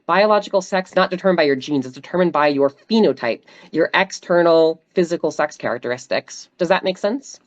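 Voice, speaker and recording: A woman streamer debating a stranger, measured and precise, making her points carefully. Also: sits low, no room sound, highs cut off. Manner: measured, precise, cites a textbook. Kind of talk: calm argumentative debate.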